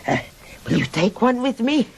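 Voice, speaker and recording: An actress playing an eccentric, blunt old countess with a German accent in a radio drama. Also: female voice, fairly high pitch, very animated, moderate pace, vocal fry, darkish tone, a little hiss or hum. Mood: conspiratorial, grumbling. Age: older. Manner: blunt, grumbling, rambling.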